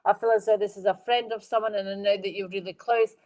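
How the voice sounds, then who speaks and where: A middle-aged woman medium giving a live-stream spirit reading. She sounds stuffed up and speaks in a gentle, rambling way, repeating phrases and addressing viewers by name. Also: medium pitch, steady tone, fast talker. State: congested, stuffed-up nose.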